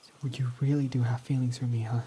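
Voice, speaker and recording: A young man playing a guarded male villain who confesses his love, speaking softly and often sinking to a whisper in a romantic roleplay. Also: mid-range voice, little pitch movement, fairly quick, a little hiss or hum, lightly acted. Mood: soft, affectionate. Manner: soft-spoken, often dropping to a whisper. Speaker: ASMR roleplay voice actor.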